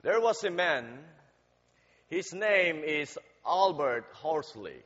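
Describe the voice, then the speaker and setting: Slow-speaking man preaching in a church. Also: on the high side, animated, fairly bright tone. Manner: slow, deliberate, halting delivery with fillers. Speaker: guest preacher. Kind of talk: sermon with prayer and storytelling. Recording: dull sound with long hall reverb.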